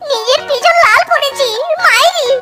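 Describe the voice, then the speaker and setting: Cartoon fox thief, male, extremely high voice, boastful and wheedling, exclamatory delivery, loud music underneath. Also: moderate pace. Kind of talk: Bangla children's cartoon voice acting. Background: children's music.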